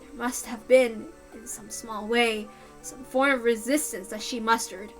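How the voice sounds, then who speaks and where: A woman's voice: on the high side, steady tone, a little slow. A woman reading a story aloud as a dramatic first-person narrator, in a mournful, intense manner. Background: music.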